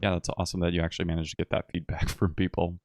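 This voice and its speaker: Deep-voiced male podcast host, dry sound. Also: little pitch movement, rapid-fire, darkish tone, no room sound. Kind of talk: casual podcast conversation.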